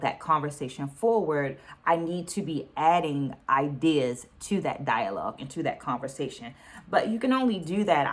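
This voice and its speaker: Low-voiced, articulate middle-aged woman vlogger. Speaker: book reading vlogger, dancer and educator. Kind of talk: reflective book-takeaway vlog, semi-scripted talk to viewers.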